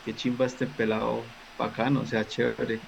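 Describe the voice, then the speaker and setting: A man's voice: medium pitch, level tone, moderate pace, vocal fry, a little hiss or hum, low-quality recording. A man with a Colombian accent from Cali, a podcast guest chatting casually in a friendly, slangy way over a remote call.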